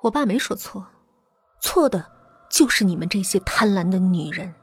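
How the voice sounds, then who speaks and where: A woman's voice: mid-range voice, expressive, moderate pace, breathy edge, no echo. Woman playing a cold, vengeful young woman. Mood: cold anger. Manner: sneering, accusing.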